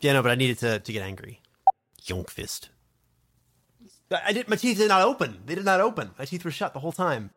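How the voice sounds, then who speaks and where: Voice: mid-range voice, very animated, brisk, long pauses, a bit bright, slight background noise. A voice actor at home laughing and playfully repeating a hard name between takes. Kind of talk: casual home recording outtakes.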